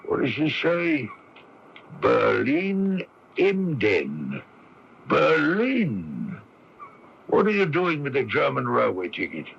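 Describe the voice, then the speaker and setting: An actor in a radio drama playing a stern, dutiful police constable who questions with formal courtesy. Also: male voice, mid-range voice, huge pitch swings, gravelly, exaggerated voice. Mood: stern, accusing. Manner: deliberate, formally polite interrogation. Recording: lo-fi radio broadcast tape with hiss.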